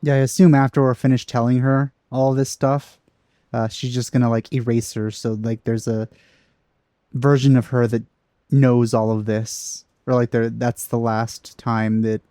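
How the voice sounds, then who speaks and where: A man giving casual gameplay commentary, low-key and halting as he thinks aloud. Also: flat delivery, dark tone, no echo, clean studio sound. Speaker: let's play commentator.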